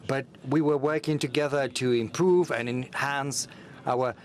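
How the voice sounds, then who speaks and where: A man with a mid-range voice interprets a long answer in a steady, formal way. He pauses often on filler sounds as he keeps pace. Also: mid-range voice. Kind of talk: simultaneous interpretation.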